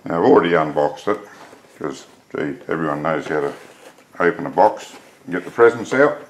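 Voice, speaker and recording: A man's voice: extremely low pitch, lively intonation, normal speed, a little hiss or hum. An older man, a hobby welder, chatting plainspoken and self-deprecating as he reviews gear in a workshop shed. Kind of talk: casual product review.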